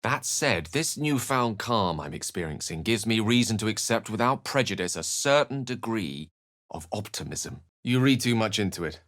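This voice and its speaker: A man's voice: on the low side, lively intonation, moderate pace, a bit bright, dry recording, studio quality. A male actor voicing a bookish, courteous wizard for a video game, speaking in wry, brooding, articulate and literary phrases. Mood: wry, musing. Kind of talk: video game voice acting, narration and dialogue.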